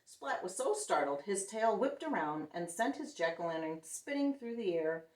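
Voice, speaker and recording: A woman's voice: mid-range voice, moderate pace. A woman reading a picture book aloud to young children, acting out the characters and asking the listeners questions. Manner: expressive, acts out the characters. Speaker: children's storyteller. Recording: roomy echo, distant microphone.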